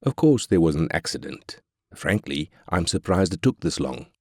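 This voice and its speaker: A man's voice: low-pitched, sing-song, normal speed, husky, no room sound, studio quality, full character voice. A man playing a ruthless, arrogant corporate tycoon, brash and cynical, in scripted video game lines. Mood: irritated, callous. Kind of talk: scripted game character quotes.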